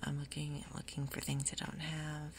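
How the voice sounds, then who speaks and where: A woman doing soft-spoken ASMR game commentary in a breathy half-whisper, with a casual, rambling, unhurried manner. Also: low-pitched, completely flat delivery, heavy background noise. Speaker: gaming video host.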